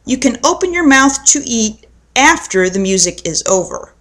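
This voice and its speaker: A woman's voice: fairly high pitch, sing-song, moderate pace, a bit bright. A woman voicing a grumbling older brother, a scornful, protesting schoolboy in a children's storybook. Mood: bossy. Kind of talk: children's storybook read aloud.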